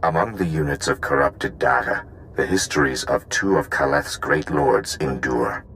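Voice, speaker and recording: An actor playing an ancient, dying machine gatekeeper in a video game, solemn and impassive, speaking in formal, clipped reports. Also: male voice, a bass voice, moderate pace, fairly bright tone, a little hiss or hum, poor audio.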